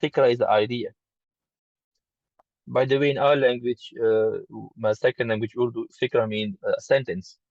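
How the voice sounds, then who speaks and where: A man studying Arabic, reading aloud and translating in a halting, tentative way, often repeating words. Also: mid-range voice, steady tone, a little mellow, dry recording, muffled recording. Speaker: student of Arabic.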